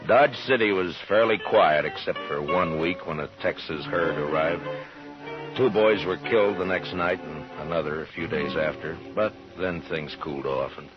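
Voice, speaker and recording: Western lawman, acted by a man: deep, laconic, steady, American, stern authority, vintage radio sound with music. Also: lightly acted. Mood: reflective. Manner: measured, dry, authoritative. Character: steady, stern, laconic male frontier marshal. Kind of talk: old-time radio western acting and narration. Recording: muffled old radio recording, music.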